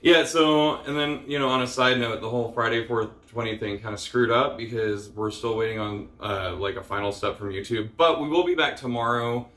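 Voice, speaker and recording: A man's voice: medium pitch, brisk, few pauses, bright, forceful tone. Weary man rambling in an echoey room. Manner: rambling, many fillers, frustrated and weary; swears casually. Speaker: vlogger. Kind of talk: casual personal vlog rant.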